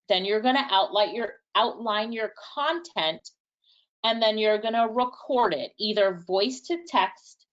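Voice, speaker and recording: A woman's voice: medium pitch, a bit bright, dull audio. A woman with a Jamaican accent presenting a live online workshop, casually answering chat questions and thinking aloud. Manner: casual, chatty, thinks aloud, laughs lightly. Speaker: webinar presenter.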